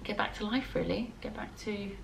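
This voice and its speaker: British woman, hoarse, gravelly, casual rambling vlog chat, faint background noise. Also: medium pitch, fairly even intonation, a little fast, rough recording. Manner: rambling, chatty. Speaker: knitting vlogger and designer.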